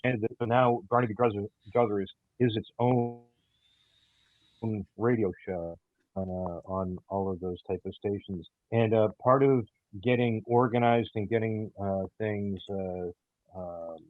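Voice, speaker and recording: Male interviewee, low-pitched and hesitant, thin phone-like sound with room echo. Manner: hesitant, halting, many pauses. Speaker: audio drama creator and writer. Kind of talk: halting interview talk. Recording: tinny, band-limited call audio, roomy echo.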